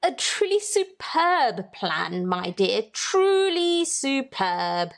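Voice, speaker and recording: A woman's voice: sits high, very animated, drawn-out words, exaggerated voice. A woman voicing a genial, old-fashioned husband who keeps a lighthouse, in a storybook. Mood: amused.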